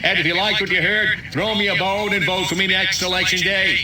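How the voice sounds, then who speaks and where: Man playing a high-voiced, blustering small-town mayor. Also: level tone, brisk, noisy background, lightly acted. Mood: jokey, hammy. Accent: American. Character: glib, blustering small-town American mayor making speeches. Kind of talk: comedic video game dialogue, mock political speech.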